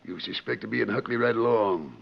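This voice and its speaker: An actor playing a gruff, bullying crooked sheriff with an American accent in a 1940s radio Western. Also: male voice, on the low side, brisk, full character voice. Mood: accusing. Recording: dull 1940s radio recording.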